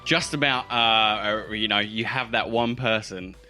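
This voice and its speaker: A man's voice: on the low side, fairly even intonation, a little slow, sharp tone, soft background music. A man speaking casually as game master, improvising narration and rulings for a tabletop roleplaying game.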